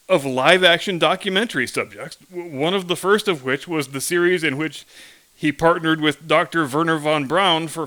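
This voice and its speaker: An American man delivers a scripted satirical monologue as a host. His voice is bright, forceful and a bit high for a man. He is sardonic and leans hard on his words. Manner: sardonic, emphatic. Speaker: podcast host.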